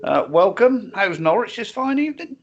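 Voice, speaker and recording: A man's voice: high-pitched, very animated, normal speed, highs cut off. A man co-hosting a casual chat show, crude and teasing, swearing a lot. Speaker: co-host. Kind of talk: casual banter.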